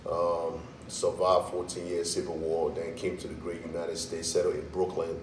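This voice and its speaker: Low-voiced man, distant roomy mic. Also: completely flat delivery, normal speed, slight background noise. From Liberia. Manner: laughs often, lots of "you know what I'm saying". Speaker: guest. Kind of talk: casual interview storytelling.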